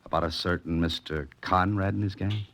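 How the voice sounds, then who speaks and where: A man's voice: low-pitched, fairly even intonation, a little fast, a bit bright, dry recording. An American man playing a tough, wry adventurer-sleuth in a 1940s radio suspense drama, with a clipped, insistent delivery. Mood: wry, pressing. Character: tough, wry male adventurer-sleuth, narrating and pressing for answers. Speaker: lead actor and narrator. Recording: noisy, muffled vintage 1940s radio recording.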